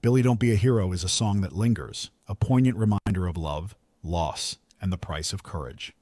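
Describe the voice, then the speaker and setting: A man's voice: sits low, normal speed, warm tone. A man narrating a scripted countdown in a polished, earnest delivery, as the narrator.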